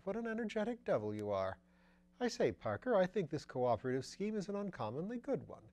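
A jaunty young aristocrat, played by a man reading a storybook whose lines float up high and light. He sounds flippant and pleased with himself, tossing off airy upper-class banter. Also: darkish tone.

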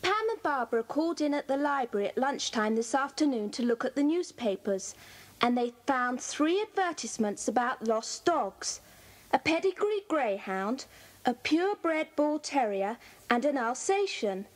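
A young British schoolgirl in a children's drama. The voice is high, with an earnest, efficient manner. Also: lively intonation, moderate pace. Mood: earnest reporting. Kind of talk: children's audio drama acting.

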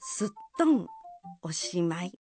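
Female radio drama narrator, slow and lively-pitched, faint music around the tale.

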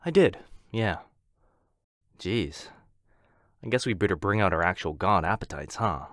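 A man's voice: sits low, expressive, unhurried, a bit bright, dry recording, clean studio sound, exaggerated voice. A man playing a goth horseman in a comedy roleplay, casual and chiding, with an exasperated manner. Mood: resigned. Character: dry, scolding goth horseman in eyeliner. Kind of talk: boyfriend ASMR comedy roleplay.

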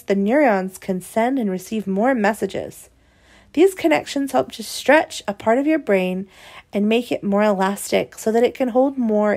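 A woman's voice: a little high, darkish tone. A woman reading a children's picture book aloud, explaining it expressively to young listeners. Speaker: read-aloud presenter.

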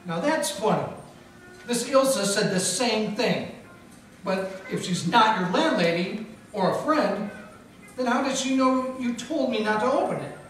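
Man, hardboiled detective narrator, deadpan wisecracks, radio play, echoey hall, distant mic. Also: high voice, measured pace, a little hiss or hum. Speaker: narrator and lead actor. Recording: echoey hall from far off with music.

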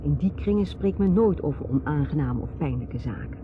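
A woman's voice: sits low, expressive, brisk. An actress playing a guarded, troubled society mother in a radio drama, speaking with hesitant reserve. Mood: matter-of-fact. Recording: noisy, muffled old radio drama recording.

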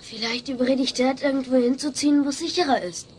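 An anxious little boy voiced by a woman talks in a high voice. He is gloomy and worried, in a sitcom audio play with faint tape noise. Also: steady tone, quick pace, darkish tone, close to an everyday voice. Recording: noisy old cassette audio play.

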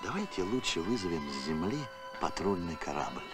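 A man voicing a gloomy, pessimistic spaceship captain in an animated film, with a glum, grumbling manner. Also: low voice, moderate pace, music in the background. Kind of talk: Soviet animated film acting.

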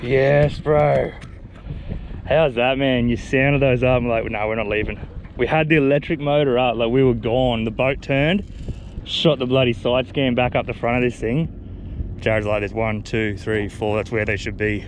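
An Australian man chatting excitedly and casually in slang with a friend while out on a small fishing boat. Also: medium pitch, moderate pace. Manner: laid-back slang, often laughing and exclaiming. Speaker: fisherman filming a fishing vlog. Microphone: action camera worn on the body. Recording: outdoor boat noise, engine and water.

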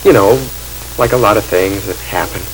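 A man's voice: on the low side, normal speed, close to an everyday voice, poor audio. A young man acting an earnest, lovestruck drugstore clerk who tells his story in folksy, hesitant, boyish phrases in a 1940s radio drama. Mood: wistful, confiding. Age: young adult. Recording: hissy old film soundtrack.

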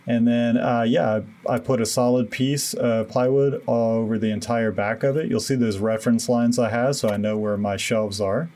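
A man's voice: mid-range voice, moderate pace, hardly any pauses. Casual man narrating his DIY build. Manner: relaxed, self-deprecating, many fillers. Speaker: DIY renovation vlogger. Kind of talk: casual voice-over walkthrough.

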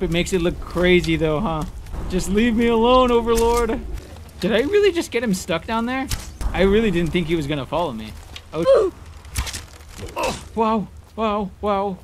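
Higher-voiced man commentating over noisy gameplay. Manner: informal, sweary. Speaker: gaming video creator. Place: indoor room, webcam gaming setup. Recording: noisy, game sounds behind voice.

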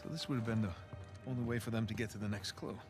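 A man voicing a wisecracking, excitable American treasure hunter in his thirties in a video game. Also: lowish voice, very fast, lightly acted. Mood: excited. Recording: loud music behind game dialogue.

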